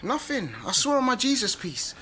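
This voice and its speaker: A man's voice: very high-pitched, very animated, normal speed, bright, forceful tone, slight background noise, heavily acted. A man playing a cheeky, slangy, argumentative young London son in a radio drama. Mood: wheedling, cocky. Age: young adult.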